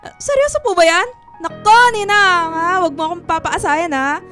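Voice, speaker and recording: Woman acting giggly, very high-pitched teenage girl. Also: expressive, moderate pace, a bit bright, faint music behind. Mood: giggly teasing. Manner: playful, teasing, exclaiming. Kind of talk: Filipino radio drama acting.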